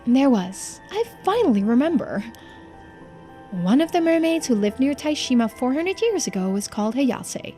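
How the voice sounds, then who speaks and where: Girl playing wistful ageless lore keeper in a game, musing, highish voice, swoopy intonation. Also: sing-song, moderate pace, mellow, soft background music. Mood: remembering, wistful. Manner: explanatory, musing.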